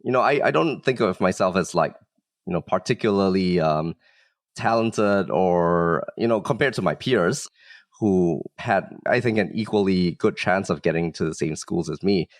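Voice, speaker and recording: A man from Singapore speaks in a low-pitched voice with very little room sound. He explains things as a founder being interviewed, in a casual, unassuming way, sprinkling in conversational fillers. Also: moderate pace, no echo, studio quality. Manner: modest, explanatory, with frequent "like" and "you know" hedging. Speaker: startup founder, guest.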